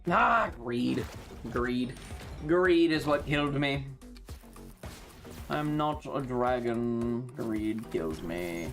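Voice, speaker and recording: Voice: medium pitch, expressive, very slow, pauses often, a bit bright. Sweary, casual game streamer over music. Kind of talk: casual livestream chat while gaming.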